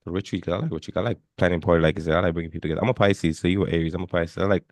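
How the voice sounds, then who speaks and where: A man hosting a podcast in an informal, animated chat, often repeating and doubling back on his phrases. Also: low voice, racing, no echo. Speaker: podcast host.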